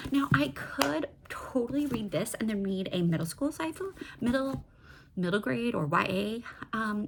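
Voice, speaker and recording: A woman with a creaky, fry-edged voice chats informally as a book vlogger. She rambles, breaks off mid-thought and asks herself questions. There is some noise in the recording behind her. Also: medium pitch. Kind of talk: casual book-talk vlog, unscripted chat.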